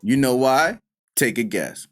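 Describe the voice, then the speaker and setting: A man's voice: medium pitch, expressive, measured pace, soft background music. A man hosting a reaction video, commenting bluntly and sarcastically on clips.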